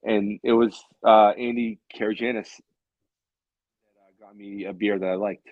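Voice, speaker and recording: A man's voice: fairly low pitch, fairly even intonation, a little slow, no echo, muffled recording. A man in his late thirties chatting casually as a show co-host, in a rambling way that repeats phrases for emphasis. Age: late 30s. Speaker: co-host of a beer hangout show. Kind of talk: casual chat.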